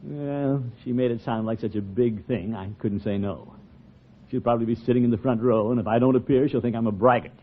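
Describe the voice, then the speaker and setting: An actor playing a vain, easily flustered middle-aged uncle in old radio comedy, with a blustery manner. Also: male voice, mid-range voice, brisk, slight background noise, close to an everyday voice. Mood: sheepish. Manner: blustery, hesitant when cornered, chuckling. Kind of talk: 1940s radio comedy acting. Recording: very muffled old radio recording.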